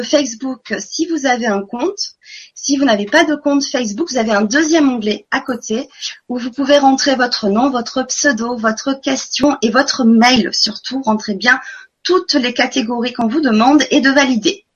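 A woman's voice: sits high, husky, lo-fi sound. A woman hosting a live online conference, speaking earnestly and repeating words for emphasis. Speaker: webinar host.

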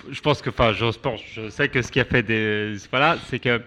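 A man giving a spontaneous explanation in a discussion, halting and repeating his words. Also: medium pitch, sharp tone, slight background noise. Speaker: discussion participant.

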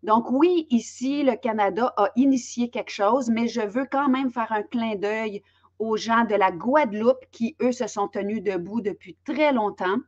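A woman's voice: fairly high pitch, a little slow, darkish tone. A woman from Belgium hosting an online interview, speaking with frequent fillers and restarts as she introduces the topic and her guests. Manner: hesitant, many fillers and restarts. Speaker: host and interviewer. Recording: slightly dull lo-fi audio.

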